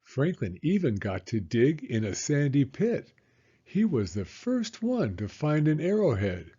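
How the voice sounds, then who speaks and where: Husky, slow-paced male storybook reader. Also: a little high, lo-fi sound. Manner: expressive, deliberate storytelling. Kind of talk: children's read-aloud narration.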